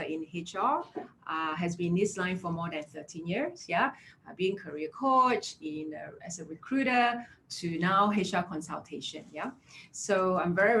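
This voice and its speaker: A woman's voice: fairly low pitch, fairly bright tone, a little hiss or hum. A woman in her thirties from Malaysia with a Malaysian English accent, presenting a career webinar as an HR consultant, chatty and full of "yeah" and "right?". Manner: chatty, filler-heavy, affirming with "yeah" and "right?".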